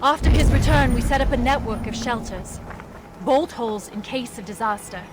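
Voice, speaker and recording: A woman's voice: fairly high pitch, moderate pace, over music, lightly acted. A woman playing a female dwarf rebel guide in a fantasy game, matter-of-fact with dry wit. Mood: practical, dry.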